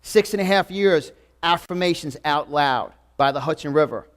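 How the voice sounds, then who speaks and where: A man's voice: a little high, moderate pace. A man in his forties with a New York accent, giving an emphatic, repetitive motivational talk to a live audience. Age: 40s. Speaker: motivational speaker. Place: event room before a live audience.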